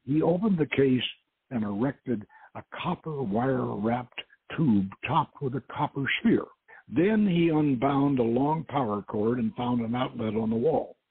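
A very husky man hosts and narrates an adventure story. He now and then trips over a word and repeats it. The sound is narrow and phone-like, with a dry, close feel. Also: medium pitch, expressive, unhurried, no echo. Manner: stumbles and repeats words while reading. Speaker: host and author reading his novel. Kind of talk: dramatic reading of an adventure novel. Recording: muffled phone-like lo-fi audio.

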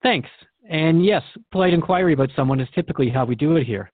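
A man's voice: fairly high pitch, fast talker, no room sound, narrow, tinny audio, natural voice. A man playing a curious, polite radio host in an audio drama, interviewing in a courteous, conversational way.

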